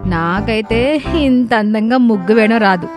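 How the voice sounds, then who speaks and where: A woman's voice: fairly high pitch, a little slow, over music, lightly acted. A woman voicing a friendly, cheerful young village daughter-in-law in a story, affectionate and full of praise. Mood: cheerful, admiring.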